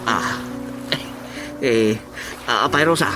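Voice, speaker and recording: An actor playing an exclamatory, stammering Filipino husband and drinking buddy in an Ilocano radio comedy drama. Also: male voice, medium pitch, slow pace, over music, close to an everyday voice, rough recording. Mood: rattled, pleading.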